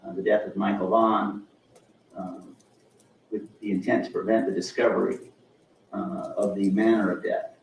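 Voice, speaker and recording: A man haltingly reading a formal charge in court, in an echoey, distant, noisy recording. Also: fairly low pitch, level tone, gravelly.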